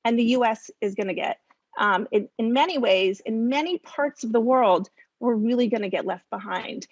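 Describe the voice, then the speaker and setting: A woman speaking off the cuff as a policy expert, halting and emphatic with repeated words. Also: fairly high pitch, no echo, lo-fi sound. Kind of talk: spontaneous panel commentary.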